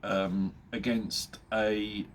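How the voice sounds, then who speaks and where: A man's voice: on the low side, flat delivery, drawn-out words, a little hiss or hum. A middle-aged man with a blocked-up nose from a cold, chatting casually to his viewers, joking and chuckling at himself with plenty of ums along the way. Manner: chatty, jokey, laughs at his own jokes, many fillers. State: nasal from a cold. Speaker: motorbike vlogger. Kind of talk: casual chat to camera.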